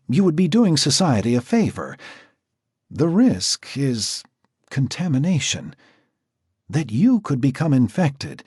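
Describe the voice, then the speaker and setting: A man reading an audiobook, voicing a calm, clinical woman doctor in a measured, analytical way. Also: medium pitch, breathy edge, dry recording, clean studio sound, voice pushed up.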